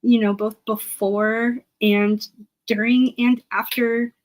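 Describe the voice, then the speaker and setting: A woman's voice: fairly high pitch, little pitch movement, slow pace. A woman speaking as an interview guest and historian, casual and reflective, earnestly searching for her words.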